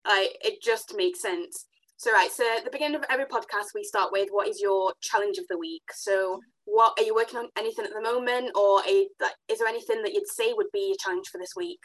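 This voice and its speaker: A woman hosting a podcast, chatting casually and warmly affirming her guest with enthusiastic interjections. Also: medium pitch, quick pace, low-quality recording. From Africa. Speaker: podcast host.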